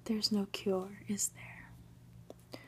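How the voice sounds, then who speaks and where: A woman voicing an anxious, bewildered ordinary man from a storybook in a breathy, hesitant whisper. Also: mid-range voice, flat delivery, extremely slow, mellow. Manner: hesitant, plaintive questioning.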